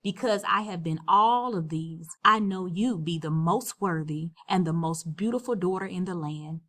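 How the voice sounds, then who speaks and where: A woman voicing a benevolent shape-shifting snake king in a storybook read-aloud, calm and stately. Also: on the low side, normal speed. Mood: calm, tender.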